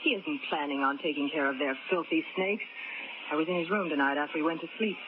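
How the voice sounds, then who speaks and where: An actress playing a scheming, greedy young heiress in a 1940s radio drama. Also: female voice, deep voice, quick pace, close to an everyday voice. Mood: bitter. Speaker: villain niece. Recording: tinny old radio broadcast with hiss.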